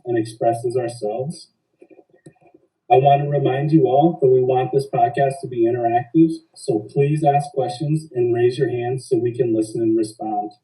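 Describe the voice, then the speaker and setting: A man hosting a podcast, speaking in a measured, formal way. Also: medium pitch, dark tone, recorded from a distance, poor audio. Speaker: podcast host. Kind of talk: semi-scripted podcast hosting.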